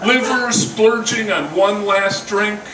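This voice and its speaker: A man's voice: high voice, takes it slowly. An older man giving a live poetry reading with casual introductions, in a wry, dryly humorous manner. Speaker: poet reading own work. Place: indoor coffee house reading. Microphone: stand microphone, recorded by camera from a distance. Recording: roomy, distant mic, noisy venue.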